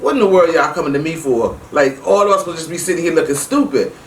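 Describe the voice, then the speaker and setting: Animated woman storyteller in a roomy space. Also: low voice, quick pace. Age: middle-aged. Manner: animated, emphatic, acts out quoted dialogue. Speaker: vlog host. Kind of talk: casual personal storytelling to viewers. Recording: roomy, distant mic with faint noise.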